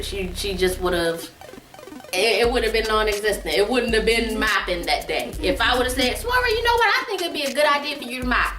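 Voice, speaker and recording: A woman's voice: a little high, sharp tone. A woman telling a casual comedic family story in an exaggerated way, repeating phrases for emphasis. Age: adult. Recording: roomy, distant mic, music behind. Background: background music.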